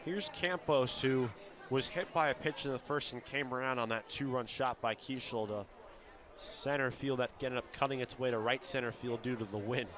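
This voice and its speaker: A man calling live baseball play-by-play for radio in a fluent, descriptive style that can build to shouts on big plays. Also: mid-range voice, normal speed. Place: outdoor baseball stadium broadcast position. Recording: live ballpark with crowd noise behind. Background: crowd noise.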